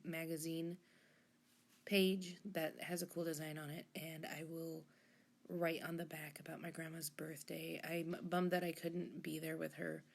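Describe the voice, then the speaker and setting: A woman hosting a journaling vlog in a casual voice-over, hoarse and froggy from a recent illness, rambling and correcting herself with half-whispered asides. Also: sits low. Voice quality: husky, rough. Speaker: journaling channel host.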